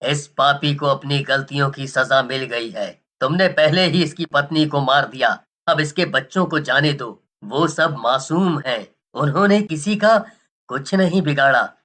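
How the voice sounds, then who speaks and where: Guy doing a grieving village dad for a moral story cartoon, slow dramatic delivery, really sharp tense voice. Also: fairly high pitch. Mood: pleading, firm. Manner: dramatic, halting, repeats words. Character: grieving, protective father, a village man, pleading and accusing.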